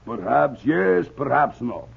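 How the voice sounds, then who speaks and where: A man playing a big, evasive Russian doorman with a raspy voice, a Russian accent and halting broken English in 1940s radio acting. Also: mid-range voice, very animated, full character voice. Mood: evasive, stolid. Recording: muffled, narrow-band 1940s radio recording.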